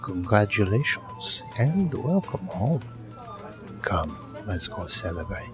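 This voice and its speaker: Man as suave swaggering gallant, very low slow voice, fantasy audio drama, loud music underneath. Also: big pitch swings. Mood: warm, coaxing. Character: Bayard, swaggering, courtly male charmer.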